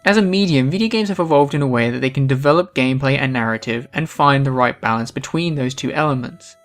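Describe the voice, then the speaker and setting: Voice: medium pitch, quick pace. A video essay host giving scripted, opinionated commentary with an emphatic delivery.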